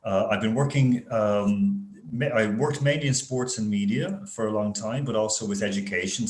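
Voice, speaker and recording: A man with an Irish accent, a university international relations director, chatting in a rambling, anecdotal way on a video call webinar panel. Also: fairly low pitch. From Ireland. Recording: echoey room on video call.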